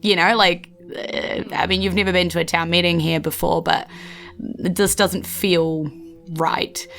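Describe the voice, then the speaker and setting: A woman's voice: on the low side, steady tone, unhurried, vocal fry, a bit bright, soft background music. A woman casually explaining and narrating as game master of a tabletop game.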